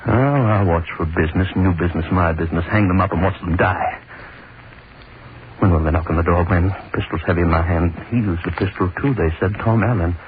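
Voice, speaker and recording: A man playing a deep-voiced gloating hangman. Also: fairly quick, close to an everyday voice. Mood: anxious. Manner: gloating, brooding, lingers on words. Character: obsessive middle-aged male hangman, gloating and cruel. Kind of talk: 1930s radio drama acting, first-person monologue. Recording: scratchy tinny 1930s radio broadcast.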